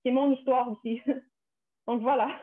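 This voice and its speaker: Young Québécoise on a tinny call. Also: female voice, high-pitched, steady tone, takes it slowly, breathy edge. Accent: Québécois French. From Canada, Quebec. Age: young adult. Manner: earnest, many restarts and repetitions. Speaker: panelist. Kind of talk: panel discussion, personal testimony. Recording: tinny phone-like line.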